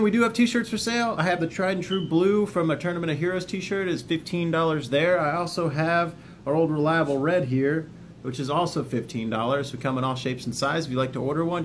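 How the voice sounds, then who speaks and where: A man's voice: mid-range voice, a little hiss or hum. A plainspoken man hosting an informal self-help video, talking straight to viewers in a folksy way with the odd jokey aside. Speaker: video host, army veteran sharing self-help lessons. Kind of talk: informal video talk, partly reading a book overview.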